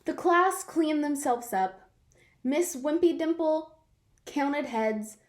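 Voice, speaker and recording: A woman reading a picture book aloud to children in an expressive way, drawing out words for effect. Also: high voice, slow. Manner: animated, draws out words for effect. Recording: roomy, echoing sound.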